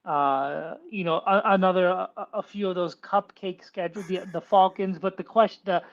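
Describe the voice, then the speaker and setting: A man calling in to a sports show, talking casually with a nasal, high-pitched voice and rambling, stop-start restarts. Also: steady tone, normal speed. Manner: rambling, repeats words when starting a point. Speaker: caller. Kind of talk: casual sports call-in chat. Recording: dull lo-fi phone line.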